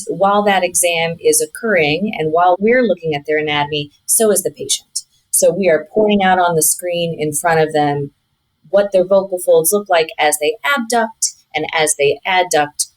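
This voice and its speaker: A woman's voice: on the low side, low-quality recording. A woman, a physician guest, explaining in an interview.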